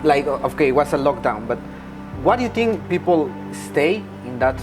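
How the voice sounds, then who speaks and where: Halting male interviewer over music. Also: fairly high pitch, big pitch swings. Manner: halting, restarts questions, eager agreement. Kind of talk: casual conversational interview.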